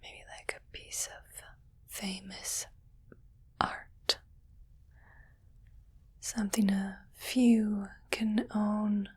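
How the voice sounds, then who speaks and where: A woman's voice: medium pitch, level tone, full character voice. A woman voicing a smug, wealthy alien collector of human pets in a condescending, whispered ASMR roleplay. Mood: smug, possessive. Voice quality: breathy whisper. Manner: slow, condescending, coaxing.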